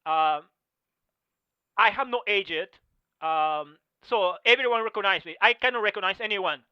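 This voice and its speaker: Halting non-native man on a video call. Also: sits high, smooth, dry recording. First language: not English or French. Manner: halting, full of "uh" pauses and restarts. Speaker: Masters track and field athlete. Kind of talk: testimony in a video meeting. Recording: slightly muffled video call audio.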